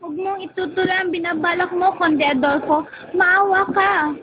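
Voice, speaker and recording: A woman playing a gentle, devoted young princess in an amateur radio drama. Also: very high-pitched, steady tone, moderate pace, voice pushed up. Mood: pleading. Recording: dull lo-fi recording with clear noise.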